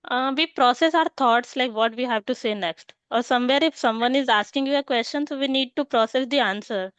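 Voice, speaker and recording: Woman learner, slightly high, smooth voice, dull lo-fi call audio, hesitant. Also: fairly bright tone, dry recording. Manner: hesitant, many "uh" pauses. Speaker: English learner. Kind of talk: speaking practice answers.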